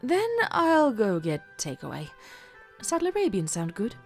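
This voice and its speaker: A woman's voice: mid-range voice, wildly swooping pitch, measured pace. A woman voicing a composed, affectionate female pony in a fan fiction audio drama, speaking matter-of-factly. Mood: calm, affectionate. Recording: music behind the voice.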